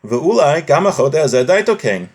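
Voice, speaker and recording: A man's voice: on the high side, sing-song, fairly quick. A man teaching a live religious class, reading from a text, translating it and explaining it point by point, often rephrasing.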